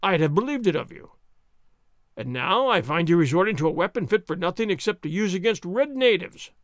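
A man voicing a blustering, boastful soldier sergeant who taunts and sneers loudly in an audiobook reading. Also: sits high, lively intonation, fairly quick, dry recording, studio quality. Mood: taunting.

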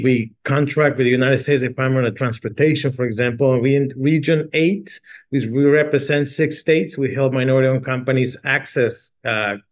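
This is a middle-aged man from Mexico, speaking as a guest about his organization. He sits at an ordinary male pitch and talks in a loose, halting way, full of restarts and fillers. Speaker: chamber executive guest. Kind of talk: casual interview talk.

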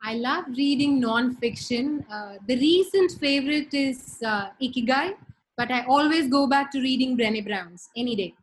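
A woman's voice: high-pitched, normal speed, low-quality recording. A middle-aged woman from India with an Indian accent, speaking casually as a podcast guest on a remote call, thoughtful with many fillers. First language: Tamil. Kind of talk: casual interview talk.

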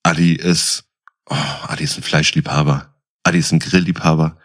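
Male podcast co-host, teasing and casual, low husky voice with lively swings. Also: moderate pace. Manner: teasing, jokey. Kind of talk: casual joking banter.

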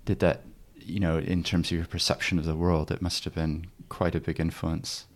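A man's voice: sits low, little pitch movement, moderate pace. A man who grew up in New Zealand, interviewing with curious, encouraging questions. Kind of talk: conversational interview.

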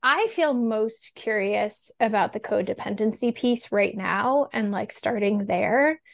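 A woman hosting a podcast interview, asking questions in a reflective, measured way. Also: medium pitch, vocal fry. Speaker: host.

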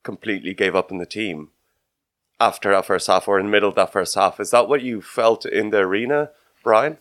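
A man giving casual sports analysis on a podcast, often halting as he thinks aloud. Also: on the low side, fairly bright tone, dry recording, studio quality. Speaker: podcast host.

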